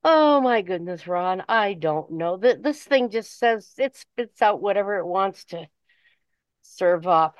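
A woman's voice: medium pitch, expressive, unhurried, no room sound. A woman chatting casually in a conversational way, like a caller on a talk show. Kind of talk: casual chat. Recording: dull, slightly muffled phone audio.

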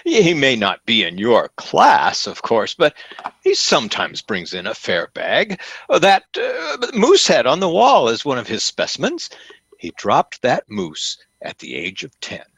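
An actor playing a fawning, pompous store salesman in an old-time radio comedy, with effusive, theatrical flattery. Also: medium pitch, a little slow, creaky, husky, no echo, exaggerated voice. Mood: deferential.

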